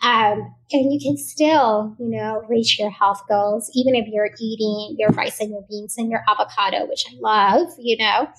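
A woman's voice: mid-range voice, steady tone, normal speed, hardly any pauses, low-quality recording. A woman doctor from Puerto Rico whose first language is Spanish, speaking earnestly as a podcast guest, often tagging "right?" and using fillers. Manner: earnest, warm-hearted, with many fillers and "right?" tags. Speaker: physician guest. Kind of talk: podcast interview.

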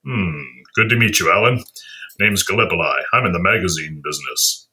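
A gruff, wry magazine man, played by a male actor, in an audio drama. His deep voice has a bright, forceful edge as he pries in short, clipped phrases. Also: expressive, a little fast, full character voice. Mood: gruff, curious. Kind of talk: audio play acting.

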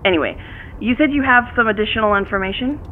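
A woman's voice: mid-range voice, fast. A curt, skeptical female police detective in an audio drama. Her voice comes thin and narrow through a phone line with some noise behind it. She speaks dryly and keeps things businesslike. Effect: telephone filter. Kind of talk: naturalistic audio drama acting.

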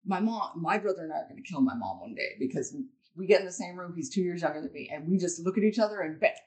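A woman with a deep voice, talking in a blunt, rambling way as a podcast guest, swearing freely. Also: animated, quick pace, darkish tone, rough recording. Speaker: guest comedian. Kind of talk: casual podcast chat.

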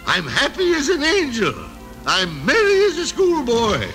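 An actor playing an excitable, stammering old miser in a vintage radio drama, with theatrical delivery. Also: male voice, very high voice, a little slow, exaggerated voice. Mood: giddy relief. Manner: theatrical, stammering exclamations and repetitions. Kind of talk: 1930s-40s radio drama acting. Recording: old radio recording with music behind.

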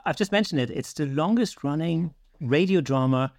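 A man's voice: a little high, moderate pace, gravelly, a little mellow, dry recording, clean studio sound. A man hosting a podcast, moving between presenter-style introduction and casual interview questions. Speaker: podcast host.

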